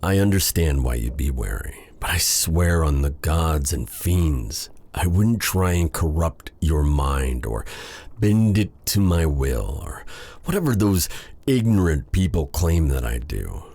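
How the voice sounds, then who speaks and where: A man playing a feared dark sorcerer with a tender side, in a courtly, romantic fantasy ASMR roleplay style. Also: rumbling low, big pitch swings, a little slow, husky, exaggerated voice. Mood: earnest, wry. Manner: courtly, formal phrasing with endearments. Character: feared dark sorcerer, tender and flustered toward his captive. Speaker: voice actor in audio roleplay.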